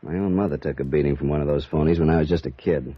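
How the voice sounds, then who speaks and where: An actor playing a mild-mannered, quietly worried male insurance broker, measured and formally polite, in a 1950s radio drama. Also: a bass voice, steady tone, breathy edge, dry recording. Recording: muffled dry old radio recording.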